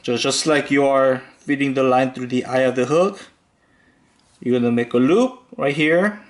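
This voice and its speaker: A man hosting a fishing tutorial, calmly talking through each step with occasional fillers as he ties knots. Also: mid-range voice. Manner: slow, relaxed instructional talk with fillers. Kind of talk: step-by-step demonstration voice-over.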